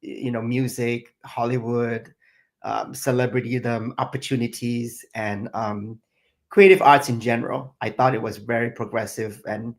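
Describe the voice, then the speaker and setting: A man's voice: mid-range voice, flat delivery, normal speed. A middle-aged man from Thailand and Singapore, a chef answering interview questions in a reflective way, with many fillers. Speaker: chef and guest.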